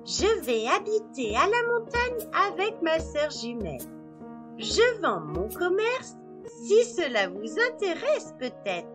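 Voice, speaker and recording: A woman's voice: extremely high pitch, wildly swooping pitch, slow pace, music in the background, voice pushed up, full character voice. A woman voicing a friendly, polite older lady hippopotamus in a children's storybook, chatting courteously. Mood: cheerful, polite. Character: friendly female hippopotamus shopkeeper near retirement, polite.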